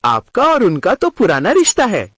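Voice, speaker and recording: A man playing an earnest, expressive young husband and dutiful son in a cartoon story. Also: high voice, moderate pace, breathy edge, no room sound, studio quality, heavily acted. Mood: teasing.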